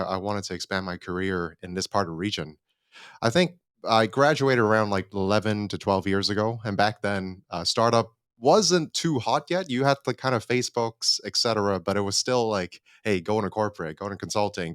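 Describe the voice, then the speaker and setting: A man in his thirties from Hong Kong talks as a guest in an easy, conversational way, sometimes restarting his sentences. His lowish voice is smooth and clean, recorded dry. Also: dry recording. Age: 30s. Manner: relaxed, conversational, with repeated starts. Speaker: startup cofounder guest. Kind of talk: casual interview talk.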